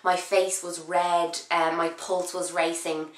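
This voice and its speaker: A young woman with a cold, talking casually and at length as a beauty vlogger, her voice husky from being ill, with many ums and restarts. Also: lowish voice, little pitch movement, takes it slowly, echoey room. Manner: chatty, rambling, frequent "um" and restarts. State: sick, voice husky and low. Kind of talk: casual chat to camera.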